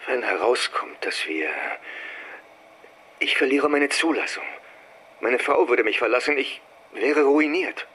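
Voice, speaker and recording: An actor playing a desperate, cold-blooded psychiatrist turned killer in a radio drama, tense and menacing. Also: male voice, mid-range voice, expressive, a little slow, pauses often, a bit bright.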